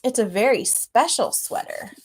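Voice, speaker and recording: A woman's voice: on the high side, expressive, normal speed, a little hiss or hum, lightly acted. Woman reading as a patient, reassuring storybook mother. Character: patient, loving storybook mother telling a tale.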